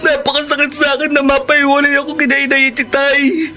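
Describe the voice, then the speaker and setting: Very high-voiced, sorrowful Filipino boy. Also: completely flat delivery. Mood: anguished, tearful. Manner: tearful, drawn-out, melodramatic delivery. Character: poor rejected boy, sorrowful, pleading, devoted. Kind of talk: Filipino radio drama acting. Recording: music bed under the voice. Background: dramatic music.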